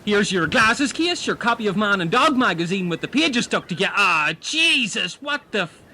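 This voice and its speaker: A petty, sarcastic castaway man played by a male comic with a high, bright voice and stammering, needling delivery. It is an audio comedy with a faint noise on the recording. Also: very animated, moderate pace, full character voice.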